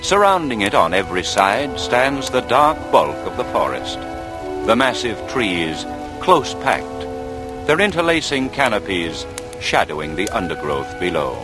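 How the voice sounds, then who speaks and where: An actor playing a wry, ominous storyteller, narrating a vintage radio drama in a measured, suspenseful way. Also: male voice, lowish voice, very animated. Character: ominous, wry storyteller setting a murder scene. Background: music under the voice.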